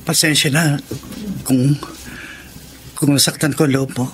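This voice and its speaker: An actor playing a shy, anxious young man in love, speaking haltingly in a Filipino radio romance drama. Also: a little high, fairly even intonation, a little hiss or hum, close to an everyday voice. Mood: nervous confession. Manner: hesitant, halting.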